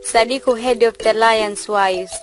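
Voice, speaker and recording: A woman plays a vain young village beauty in a stage drama. She is haughty and sharp-tongued, her voice on the high side, with music underneath. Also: fairly even intonation, measured pace, close to an everyday voice. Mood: haughty, mocking. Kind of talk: student stage-play dramatization.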